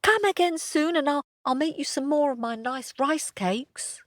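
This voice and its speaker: A woman voicing Pip, a kind young female dog, talking earnestly and gently. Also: sits high, measured pace, dry recording, studio quality, pitched above the performer's own voice. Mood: cheerful.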